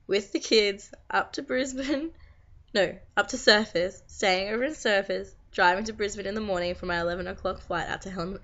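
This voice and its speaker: A young Australian woman with a croaky voice from being sick, chatting to camera in a tired, rambling, venting way. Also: medium pitch, fairly bright tone. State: croaky from a long cold. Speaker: vlogger. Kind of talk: casual chat to camera. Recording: slightly dull lo-fi recording.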